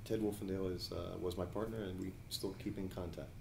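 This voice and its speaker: A man in his forties from New Jersey, answering questions as an attorney and judicial candidate in an editorial board interview, speaking in a measured way with frequent hesitations. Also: low voice, steady tone, gravelly, reverberant, noisy background. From New Jersey, USA. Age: 40s.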